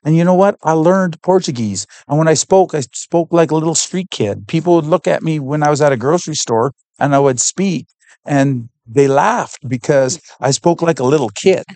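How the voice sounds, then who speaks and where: A man from Canada, a podcast guest giving an earnest, heartfelt faith testimony and pausing to search for words. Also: on the high side, darkish tone, dry recording.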